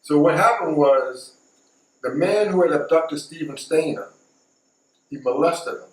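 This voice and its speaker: A man's voice: on the high side, a little slow. A middle-aged man telling a story casually, repeating and recapping for emphasis. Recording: echoey, distant mic, faint noise.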